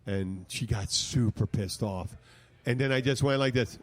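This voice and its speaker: A man's voice: mid-range voice, measured pace. A man hosting a casual podcast, telling a rambling, joking story with lots of restarts. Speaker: podcast host. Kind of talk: casual banter. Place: studio podcast room.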